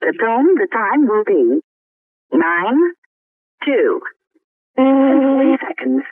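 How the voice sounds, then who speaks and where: A woman announcing the time as a recorded speaking clock, in a measured, formulaic delivery over a telephone line. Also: sits high, big pitch swings, no room sound. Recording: thin, phone-like time-signal tape.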